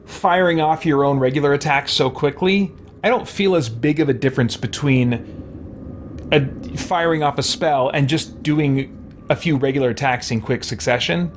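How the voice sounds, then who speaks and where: A man giving casual let's play commentary, reacting out loud and talking to the game's characters. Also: mid-range voice, moderate pace, slight background noise. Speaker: gaming show host. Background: video game music.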